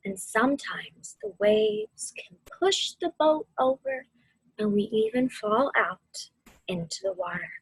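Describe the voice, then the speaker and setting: A woman mindfulness instructor speaks very slowly in a soft, airy voice that often sinks toward a whisper. Her delivery is soothing and deliberate, as in a guided meditation for children. The sound is close and dry. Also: high voice, big pitch swings, vocal fry, husky, no room sound, low-quality recording. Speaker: mindfulness instructor and host of a weekly video series.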